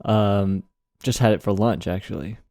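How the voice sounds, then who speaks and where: A man co-hosting a podcast in casual banter, giving deadpan, mock-grumpy comebacks. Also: on the low side, normal speed, gravelly, no room sound. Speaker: podcast co-host.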